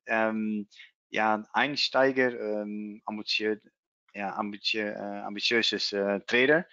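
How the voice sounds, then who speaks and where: A man with a Dutch accent, presenting a trading webinar in German as a non-native speaker, halting and searching for words with many fillers, over a video call. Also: lowish voice, bright, forceful tone, no echo. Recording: dull lo-fi video call audio.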